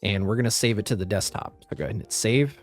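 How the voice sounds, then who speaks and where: A man with a slightly raspy voice, recovering from the flu, giving a step-by-step software tutorial as a voice-over. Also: lowish voice, fast, soft background music. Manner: step-by-step instructive, plain and practical. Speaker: tutorial host. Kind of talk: screen-recorded software tutorial.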